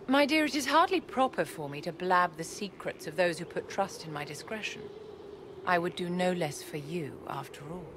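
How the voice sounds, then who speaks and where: An actress playing a poised, secretive court enchantress in a video game, formal and measured, with condescending courtesy. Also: female voice, lowish voice, lively intonation, noisy background, natural voice. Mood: composed, guarded. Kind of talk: video game cutscene acting.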